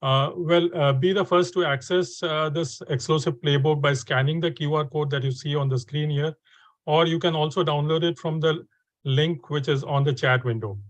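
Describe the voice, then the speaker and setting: A man's voice: a little high, steady tone, normal speed, no echo. A man hosting a webinar, speaking hesitantly with many fillers and restarts. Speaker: webinar host.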